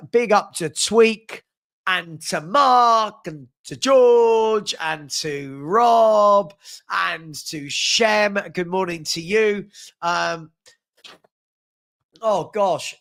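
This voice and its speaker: A young man hosting a live fan chat stream, upbeat and chatty as he reads out viewers' comments and gives shout-outs, with a head cold that leaves him a bit sniffly. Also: sits high, extremely slow.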